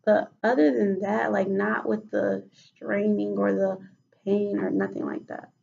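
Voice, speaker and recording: Raspy woman, gravelly and hesitant. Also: medium pitch, little pitch movement, unhurried, darkish tone, lo-fi sound, slight background noise. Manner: hesitant, many fillers and restarts. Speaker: mock patient in a role-play case study. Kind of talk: role-played clinical interview.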